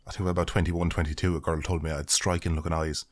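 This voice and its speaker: A man's voice: low voice, level tone, quick pace. A young man, a podcast guest, trading crude casual banter, swearing a lot and laughing at his own jokes.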